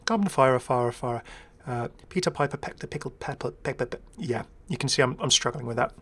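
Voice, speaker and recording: A man's voice: medium pitch, quick pace, fairly bright tone. A man giving casual, rambling first-impressions commentary as a gaming video creator, chatty, enthusiastic and self-deprecating.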